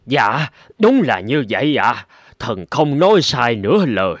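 A spiteful, scheming cartoon official. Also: male voice, mid-range voice, very animated, unhurried, no echo, clean studio sound. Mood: sly, obsequious.

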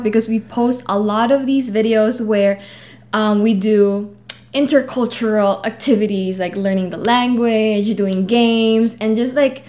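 Young filipina woman, native tagalog speaker, chatty vlog talk with tons of "like", a bit high voice, small living room. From Philippines. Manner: chatty, many "like" fillers, animated hand gestures. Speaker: vlog co-host. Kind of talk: casual vlog chat.

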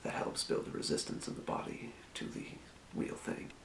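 A man voicing a helpful fantasy potion shopkeeper in an ASMR role play, murmuring in an unhurried, breathy whisper. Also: roomy sound, slight background noise, close to an everyday voice. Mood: calm explaining. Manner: murmuring, unhurried, muttering to himself. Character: helpful old-world fantasy potion shopkeeper, quietly businesslike. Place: small room, indoors at a desk.

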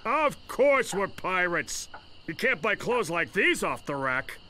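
An actor playing a sarcastic, talkative small-time pirate in a video game, wry and theatrical. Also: male voice, high-pitched, big pitch swings, slow, background noise, full character voice.

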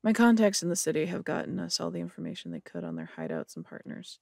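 A woman playing a serious, businesslike police detective in an audio drama, with a creaky, husky voice. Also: fairly low pitch, huge pitch swings, quick pace, mellow, dry recording, clean studio sound, heavily acted.

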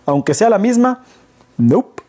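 A man's voice: sits high, very animated, takes it slowly, warm tone. A middle-aged Mexican man, a business consultant, explaining things to camera from an armchair in a living room, asking rhetorical questions as he goes. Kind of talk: casual business talk to camera. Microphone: far camera.

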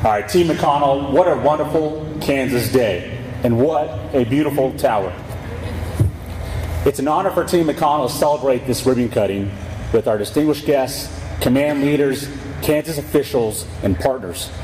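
A man, a military commander, delivering a prepared speech in declamatory, measured phrases from a podium in a large hangar. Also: mid-range voice. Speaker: military squadron commander speaking at ceremony. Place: large open hangar facing airfield. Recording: reverberant hangar PA with ambient noise.

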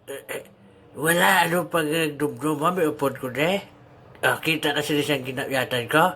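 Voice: mid-range voice, steady tone, moderate pace, bright, forceful tone, husky. A performer playing a cheeky, easily startled old grandfather with a Filipino accent, stammering through a comic audio drama.

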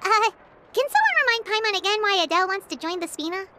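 A woman voicing a tiny, excitable, childlike fairy companion in a video game, with music behind her. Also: extremely high pitch, quick pace, very noisy. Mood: curious. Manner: refers to herself in third person, excitable. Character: tiny childlike floating fairy companion, chirpy and food-loving. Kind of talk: video game character acting.

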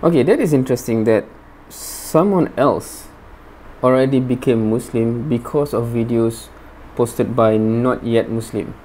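A man in his thirties hosting a reaction video from a small room with bookshelves, talking in a measured, hesitant way through an earphone mic. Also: medium pitch, dark tone, a little hiss or hum, rough recording. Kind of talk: reaction commentary.

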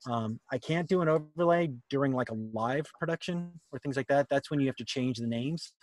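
A man's voice: mid-range voice, brisk, no room sound. A man presenting a workshop on a video call, casually explaining things and answering questions. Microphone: computer mic on a video call.

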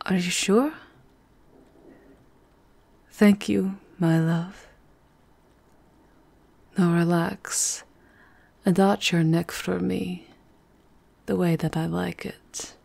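Lady doing a vampire countess for asmr, sultry n possessive, real slow and mellow, kinda posh noble type. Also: fairly low pitch, fairly even intonation, creaky, husky, heavily acted. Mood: amorous. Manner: sultry, intimate, aristocratic. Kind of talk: ASMR roleplay.

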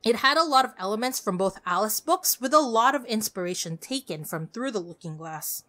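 A woman podcast host giving conversational scripted film commentary in an expressive, effusive way. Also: mid-range voice, brisk.

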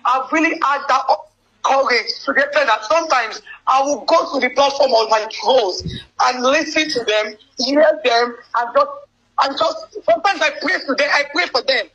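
A young woman in an emotional personal phone conversation, speaking haltingly and often repeating her words. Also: sits high, fairly bright tone, husky. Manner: halting, repetitive phrasing. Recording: band-limited leaked phone call with music. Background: music.

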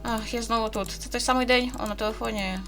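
A woman's voice: fairly high pitch, fairly even intonation, fast, over music, natural voice. A woman with a Ukrainian accent voicing a troubled, anxious young girl from a horror visual novel in an earnest, dramatic read. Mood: weary, pained.

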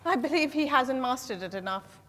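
A woman as a high-voiced, guarded young female suspect. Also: expressive, brisk, a bit bright. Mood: defensive. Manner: guarded, defensive. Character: ambitious, defensive female suspect under questioning. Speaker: stage actor. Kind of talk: dramatized play reading on stage. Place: large hotel ballroom stage. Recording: echoey hall from far off.

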